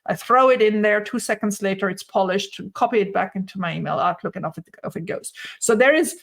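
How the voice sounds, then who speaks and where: A woman's voice: medium pitch, brisk, gravelly, a bit bright. A middle-aged woman speaking English as a third language, giving conversational opinion and analysis as an interview guest on a video call, often repeating words as she builds her point. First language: not English (English is her third language). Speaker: guest, retail investor commentator. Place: indoor room at home, on a video call.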